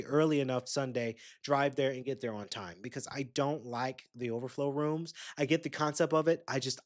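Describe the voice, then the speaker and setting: A young man, hosting a podcast and telling a rambling, chatty story straight to his listeners. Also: medium pitch. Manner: rambling, chatty, addresses listeners directly with asides. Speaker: podcast host. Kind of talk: casual solo storytelling.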